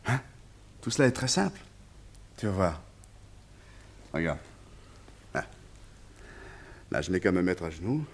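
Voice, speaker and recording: Radio play role,: haunted, devoted, anxious man with a deep voice, earnest and confiding. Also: very animated, a little slow, pauses often, a little hiss or hum, full character voice. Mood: tender, solemn. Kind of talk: French radio play acting.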